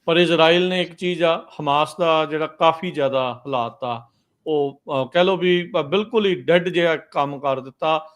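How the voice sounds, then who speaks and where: Male radio news host, slightly high pitch, conversational commentary, halting delivery, English words mixed in.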